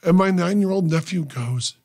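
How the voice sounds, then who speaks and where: A man's voice: sits high, moderate pace, a little mellow. A man hosting a radio interview, telling stories enthusiastically in a small studio on a desk mic. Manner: talkative, storytelling, enthusiastic. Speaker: radio show host. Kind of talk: casual interview chat.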